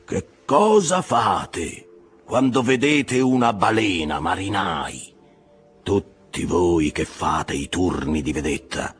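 A man voicing an obsessed, commanding old whaling captain in a dramatized audiobook, declaiming drawn-out orders to his crew. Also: extremely low pitch, fairly even intonation, breathy edge, faint music behind, exaggerated voice. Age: older.

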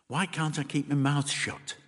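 A man's voice: high-pitched, big pitch swings, a little slow, long reverb tail, pitched above the performer's own voice, full character voice. A man voicing a young girl, uneasy yet outspoken and cheeky, blurting things out plainly in a storybook reading.

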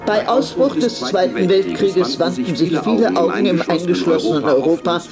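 Wistful woman remembering the movies, measured radio play narration, music playing under her. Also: lowish voice, animated. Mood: absorbed. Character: nostalgic woman film lover recalling nights at the cinema. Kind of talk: scripted radio drama monologue, narrating. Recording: music behind the voice.

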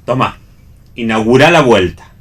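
A young Argentine bohemian man, played by an actor in a radio play. He is sardonic and teasing, tossing out dry, mocking lines in a mid-pitched voice. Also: lively intonation, moderate pace, a bit bright, lightly acted. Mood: wry. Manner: dry, mocking one-liners.

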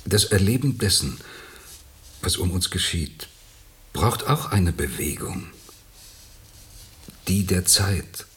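A troubled man lost in his own perceptions, in a radio play. The voice is very low and moves expressively. He speaks with halting, philosophical intensity. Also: animated, fairly bright tone, exaggerated voice. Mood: brooding, anguished. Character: troubled, brooding man estranged from time and perception. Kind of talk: German radio play acting.

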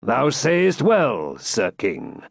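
A man voicing a stern, proud old Saxon lord and father in a forceful, declamatory storybook manner with archaic speech. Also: medium pitch, huge pitch swings, drawn-out words, creaky, no echo, studio quality, exaggerated voice. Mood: bitter.

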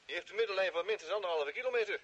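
High-pitched male scout over muffled radio. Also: racing, husky. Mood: eager reporting. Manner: hesitant, excitable. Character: eager, chatty spacesuited scout reporting in. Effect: spacesuit radio link. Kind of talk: radio drama acting. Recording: dull, band-limited radio sound.

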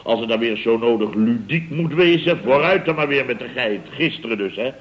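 A man acting a self-important Dutch building contractor in an old radio comedy. He blusters and boasts, repeats himself as he stammers along, and chuckles at his own mock indignation. His voice sits at a middling pitch. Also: animated, brisk, dull audio, slight background noise, lightly acted. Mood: blustering.

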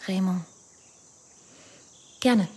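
A woman's voice: medium pitch, very slow, background noise. A vain glamorous young woman, faint ambience. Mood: breezy, chatty. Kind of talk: radio drama dialogue.

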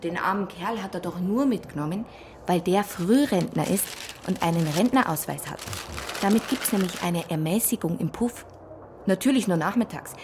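A woman's voice: on the low side, moderate pace, close to an everyday voice. Woman acting a gossipy Bavarian bakery shopkeeper, noisy surroundings. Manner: folksy, chatty, gently disapproving. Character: chatty, gossipy small-town female bakery shopkeeper. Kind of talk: naturalistic radio drama dialogue. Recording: clear ambient noise behind dialogue.

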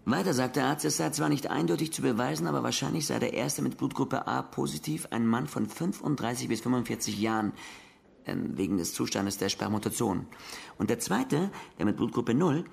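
An actor playing a gravelly, matter-of-fact police colleague giving an explanatory briefing in a German radio crime drama. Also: male voice, mid-range voice, a little fast. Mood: factual, intent.

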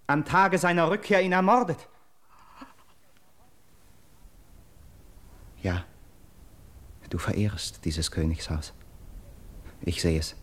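An actor playing an earnest Greek captive in a classical verse radio drama, declaiming solemnly and dramatically. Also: male voice, medium pitch. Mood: grave, urgent. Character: earnest Greek captive bearing grim news of murder.

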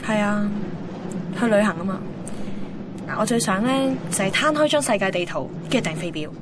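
A woman's voice: medium pitch, sing-song, brisk, background noise, natural voice. An actress playing a teasing, restless and wistful young woman with a Hong Kong accent in a naturalistic radio drama.

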